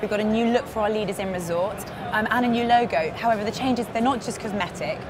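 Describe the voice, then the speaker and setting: A woman in her thirties giving a polished, upbeat promotional pitch for a club at a busy exhibition stand. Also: fast talker. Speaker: club representative. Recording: hall noise behind.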